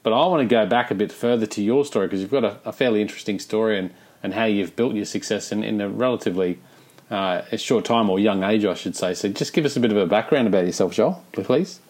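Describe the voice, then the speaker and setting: Podcast host, male, lowish voice, informal and matey, feels his way through questions. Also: fast talker, a little mellow. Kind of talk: conversational interview.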